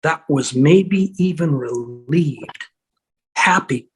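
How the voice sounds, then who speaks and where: Brief: performer as dead older brother apparition, menacing and taunting, husky, unhurried, video-call table read. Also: mid-range voice. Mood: accusing, menacing. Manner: slow, insinuating, taunting. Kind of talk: fan table read acting.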